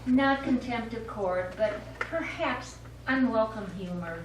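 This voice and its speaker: A woman playing a formal, measured courtroom judge in a live stage play. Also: a little high, recorded from a distance. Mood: composed. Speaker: stage actor. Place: small theatre studio, recorded from the audience. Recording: echoey stage recording from afar, clear noise.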